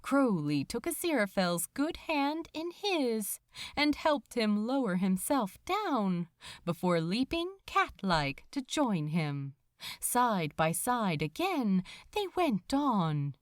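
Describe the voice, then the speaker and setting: A woman reads fiction aloud as a dramatic storyteller. Her voice sits a little high and moves very expressively, taking its time in a dry, close recording. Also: big pitch swings, slow, no room sound. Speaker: podfic narrator. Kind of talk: expressive scripted fiction narration.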